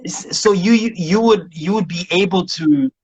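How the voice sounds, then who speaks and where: A man's voice: high-pitched, normal speed, slightly rough, rough recording. A man from Somalia, a music producer chatting casually as a guest and rambling with many restarts and laughs. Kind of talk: casual interview chat.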